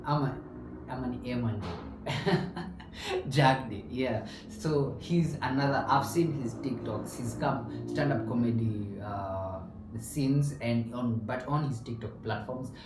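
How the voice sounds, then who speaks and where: Young kenyan guy rambling casually, mid-pitch, roomy room, mic a bit far. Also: takes it slowly, background noise. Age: young adult. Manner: mixes English and Swahili slang, chatty with many restarts. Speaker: podcast host. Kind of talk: casual chat. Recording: roomy, off-mic with faint ambience.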